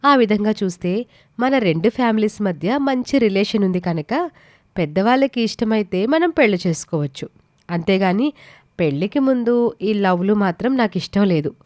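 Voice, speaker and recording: A woman's voice: medium pitch, lively intonation, moderate pace, a little mellow, dry recording, clean studio sound, lightly acted. A woman playing a polite, reserved young woman with a hesitant manner in a story drama. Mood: reserved, sincere.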